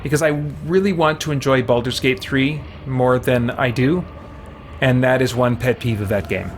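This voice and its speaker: A man live-streaming a game, reading its text aloud and chatting casually as he thinks out loud. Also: medium pitch, moderate pace. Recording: steady background noise.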